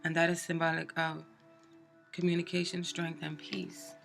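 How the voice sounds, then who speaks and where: A woman's voice: fairly low pitch, completely flat delivery, moderate pace, creaky, loud music behind the voice. A woman with a cold, sounding stuffed up, giving a chatty tarot reading with plenty of fillers and restarts. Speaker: tarot reader. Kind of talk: casual tarot card reading.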